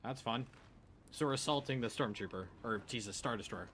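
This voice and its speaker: A man's voice: mid-range voice, brisk, fairly bright tone. A man doing casual let's play commentary, offhand and playful, often talking to himself. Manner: offhand, muttering to himself, playful sound effects. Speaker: gaming video host. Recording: game battle noise behind voice.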